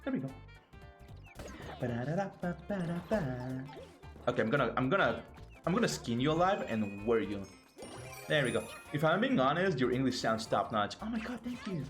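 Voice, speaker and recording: A man's voice: mid-range voice, big pitch swings, normal speed, long pauses, faint music behind. A man with a Mexican Spanish accent, a native Spanish speaker, casually commentating a game on a live stream in a playful, joking way with stammering restarts. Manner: playful, jokey, frequent laughter and stammering restarts.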